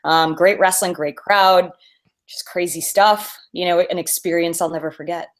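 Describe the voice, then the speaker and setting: An American woman co-hosting a podcast, telling anecdotes in a casual, animated way and laughing easily as she talks. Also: on the low side, normal speed. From born in Mobile, Alabama; grew up on the US East Coast. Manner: animated storytelling, laughs easily while talking. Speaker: podcast co-host.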